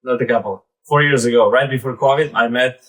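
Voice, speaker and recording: A man in his thirties with a Belarusian accent gives an informal live presentation in a halting, conversational way, often saying "like" and "basically". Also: medium pitch, fairly even intonation, fairly bright tone. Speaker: presenter, startup founder.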